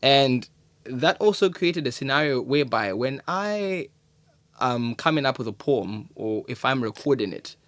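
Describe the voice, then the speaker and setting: A man's voice: mid-range voice, animated, unhurried, fairly bright tone, no room sound. A man speaking as a guest on a podcast, describing himself in a reflective way, often repeating words as he searches for them. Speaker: podcast guest, poet and creative. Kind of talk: casual podcast conversation.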